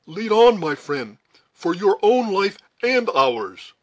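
A man's voice: sits high, sing-song, slow, lo-fi sound, pitched above the performer's own voice, exaggerated voice. A man voicing an earnest young officer in an audiobook, speaking with urgency. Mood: urgent. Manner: urgent, raised delivery. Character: earnest young officer urging his companion onward. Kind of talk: dramatic audiobook character reading.

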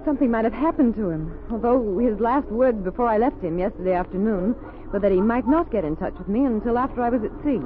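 A woman's voice: on the high side, animated, fast talker, lightly acted. Woman as young female agent, worried, old radio play, tinny thin sound. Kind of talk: 1930s radio drama acting.

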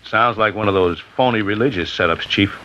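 An actor playing a casual, wisecracking investigator in a 1950s radio drama. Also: male voice, fairly low pitch, fairly quick, pushed and bright. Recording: hissy dull 1950s radio recording.

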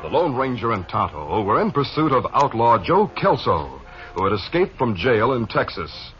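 A man's voice: mid-range voice, very animated, moderate pace, faint music behind. A man narrating a scripted 1950s radio western drama. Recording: dull old radio recording.

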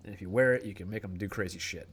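A man's voice: deep voice, rapid-fire, a bit bright, slight background noise. A man in his thirties in a casual chat, rambling and swearing freely. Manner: profane, rambling.

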